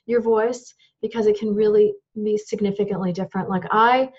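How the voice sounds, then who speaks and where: High-voiced woman teaching microphone technique. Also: fairly even intonation, brisk, a little mellow, husky, dull audio. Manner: practical, demonstrating equipment as she explains. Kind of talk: instructional talk to camera.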